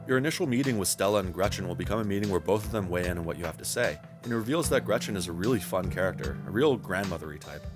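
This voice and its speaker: Very deep-voiced, brisk male reviewer over music. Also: expressive. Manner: wry, wordy, casually profane. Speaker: video game reviewer. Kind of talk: scripted narration for a game review.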